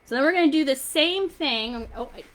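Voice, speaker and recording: A woman hosting a children's story time, excitable and encouraging as she talks young viewers through a hands-on science demonstration in simple terms. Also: sits high, brisk.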